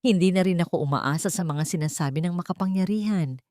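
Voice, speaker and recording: Woman narrator as a gentle, world-weary repairman. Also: fairly low pitch, fast, dry recording, clean studio sound, lightly acted. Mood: quiet sincerity. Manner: soft, earnest.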